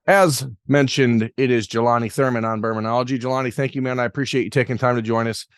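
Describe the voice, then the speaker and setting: A man's voice: medium pitch, steady tone, quick pace, clean tone, dry recording, studio quality. A man hosting an interview podcast, asking long, rambling questions with self-deprecating asides. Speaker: podcast host.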